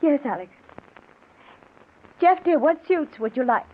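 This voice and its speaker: Anxious, tender wife, higher register, 1940s-style radio drama, tinny old recording. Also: female voice, animated, unhurried. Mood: soothing. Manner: gentle, coaxing endearments. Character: soothing, anxious wife of a disturbed man.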